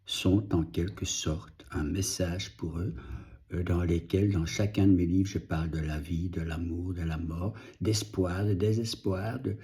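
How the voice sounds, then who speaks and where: A middle-aged man whose first language is Dutch, speaking French slowly and reflectively in a personal video update, pausing and restarting, audibly moved. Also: low-pitched, steady tone, warm tone. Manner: slow, reflective, halting with restarts. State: moved, emotional. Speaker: vlogger and author.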